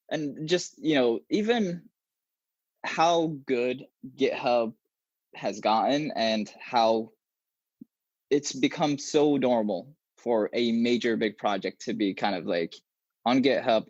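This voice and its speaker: A young man whose first language is Arabic, a software engineer talking animatedly and casually as an interview guest over a video call from a small room at home. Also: medium pitch, slow pace, no room sound. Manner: animated, gestures a lot, many restarts. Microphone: earbuds on a video call. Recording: dull, slightly lo-fi video call audio.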